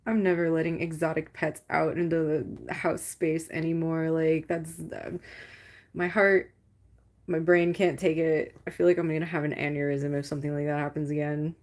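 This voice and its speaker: A young adult woman with a low voice, chatting casually with viewers on a livestream, rambling and swearing with lots of "like". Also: little pitch movement, moderate pace, darkish tone. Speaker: livestream host.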